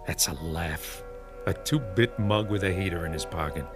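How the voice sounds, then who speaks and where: A man's voice: deep voice, moderate pace, music in the background, natural voice. An American man playing a cocky, defiant gangster in a radio drama, curt, wisecracking and hard-boiled. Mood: defiant, threatening. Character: cocky, defiant, tough gangster in fancy shoes.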